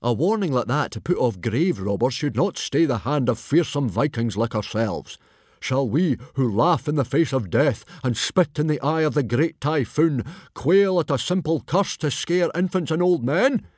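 A man reading aloud, voicing a huge, boastful Viking chieftain in a booming, bombastic way. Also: expressive, normal speed, darkish tone, breathy edge, dry recording, clean studio sound. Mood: rousing.

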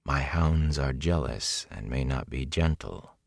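A man voicing a cold, menacing young woman who serves a dark lord, unhurried and condescending, in an audiobook. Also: a bass voice, animated, gravelly, no room sound, clean studio sound, voice pushed down, heavily acted. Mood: condescending menace. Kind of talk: audiobook character acting.

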